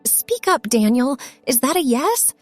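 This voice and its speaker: A woman's voice: sits high, sing-song, a little slow, faint music behind, voice pushed up, heavily acted. A woman voicing an insistent mother in an audiobook story, coaxing and firm as she presses her child. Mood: sharp demanding.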